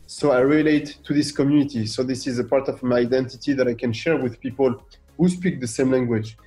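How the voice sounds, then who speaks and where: A middle-aged man with a French accent, speaking with a dark, mellow tone at an ordinary pitch. He talks animatedly as a radio guest and peppers his points with rhetorical questions. Faint music plays underneath. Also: fairly quick. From France. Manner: animated, fires rhetorical questions, acts out quoted voices. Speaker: guest artist. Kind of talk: radio interview.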